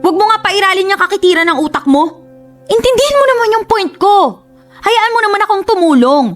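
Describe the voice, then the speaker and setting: An actress with a Filipino accent playing a frustrated young housewife who longs for independence, in a Tagalog radio drama. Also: female voice, extremely high pitch, expressive, fairly quick. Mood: upset, pleading. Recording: music under the voice.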